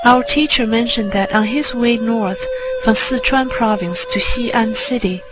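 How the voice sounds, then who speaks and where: Higher-pitched woman narrating over clear music. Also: unhurried. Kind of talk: scripted first-person spiritual story narration. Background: music under the voice.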